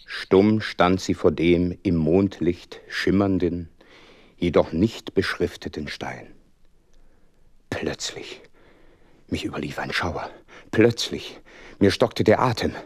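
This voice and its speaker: Narrator role: wistful gentleman doctor, low register, unhurried, measured and literary radio-play storytelling. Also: no room sound, clean studio sound. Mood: hushed, suspenseful. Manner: measured, literary, dramatic pauses. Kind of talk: scripted radio drama narration.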